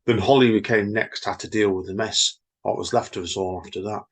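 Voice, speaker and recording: A man co-hosting a story podcast, chatting casually and reading his own poem in a slow, reflective way. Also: lowish voice, fairly even intonation, husky. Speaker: podcast co-host, poet. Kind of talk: casual chat and poetry reading.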